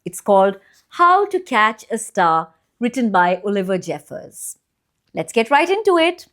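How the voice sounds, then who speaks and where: Slow, very animated woman storyteller. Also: medium pitch. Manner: expressive, deliberate phrasing with drawn-out pauses for young listeners. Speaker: children's storyteller and channel host. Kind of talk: read-aloud bedtime storytelling.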